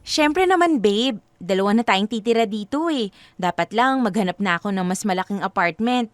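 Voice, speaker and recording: An actress playing a devoted, generous young girlfriend in a Filipino radio drama, sweet, upbeat and expressive. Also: mid-range voice, moderate pace, no echo, natural voice. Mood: reassuring.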